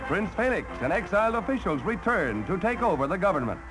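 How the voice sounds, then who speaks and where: Male American newsreel commentator, 1940s. High, swooping delivery, punchy and theatrical, old soundtrack. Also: very animated, fairly quick, rough recording. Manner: punchy, colourful, theatrical announcing. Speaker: newsreel narrator. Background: loud music.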